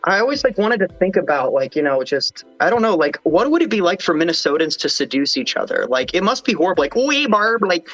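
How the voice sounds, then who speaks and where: A man's voice: fairly high pitch, big pitch swings, a bit bright, lo-fi sound, soft background music. A young man hosting a casual livestream chat, joking and rambling. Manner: joking, rambling, fast talking. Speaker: livestream host.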